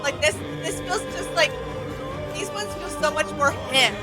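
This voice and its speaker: A woman with a high, expressive voice chats casually as co-host of a reaction video. She mostly agrees in brief, easygoing remarks, with loud music playing underneath. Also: unhurried.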